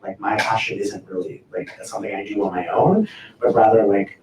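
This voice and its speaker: A man's voice: fairly low pitch, a little fast. A man speaking on a discussion panel in an earnest, loose way, with many "like"s. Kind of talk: casual panel talk. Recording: echoey room from far off, faint noise.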